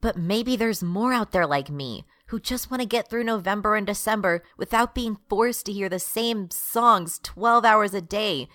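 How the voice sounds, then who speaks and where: A woman's voice: medium pitch, moderate pace, hardly any pauses, sharp tone, close to an everyday voice. A woman playing an exasperated non-binary retail worker turned rebel leader, ranting in a radio drama monologue. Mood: weary defiance.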